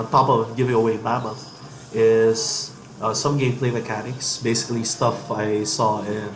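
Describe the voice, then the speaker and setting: A man's voice: medium pitch, echoey room, a little hiss or hum, rough recording. A man with a cold, casually talking through a game demo in an office, hesitant with many ums and restarts. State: has a cold. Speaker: game developer demoing gameplay. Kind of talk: casual gameplay commentary.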